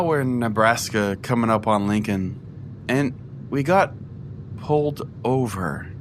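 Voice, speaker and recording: A man's voice: medium pitch, a bit bright, a little hiss or hum. A man playing a self-important, foul-mouthed conspiracy pastor, rambling earnestly as if hosting his own comedy podcast. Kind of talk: mock podcast monologue, comedic storytelling.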